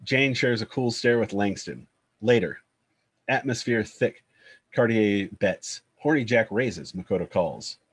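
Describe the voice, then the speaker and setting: A man's voice: on the low side, unhurried, husky. A man giving scripted narration, reading out the scene directions of a screenplay at a table read.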